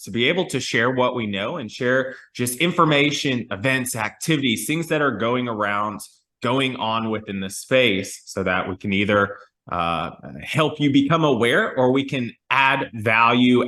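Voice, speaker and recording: A male podcast host with a middle-pitched voice in a noticeably echoey room. He talks conversationally and haltingly, often rewording a question or thought as he goes. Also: bright, forceful tone. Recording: roomy, echoey sound.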